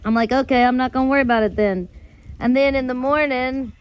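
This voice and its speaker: An American woman telling rambling personal stories casually as a host, in a drawn-out way with some swearing. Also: sits high, steady tone, smooth, muffled recording. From United States. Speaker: host of a personal storytelling show.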